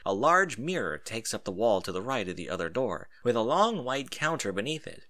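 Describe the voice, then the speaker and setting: A man narrating a first-person story in an expressive reading style. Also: mid-range voice, moderate pace.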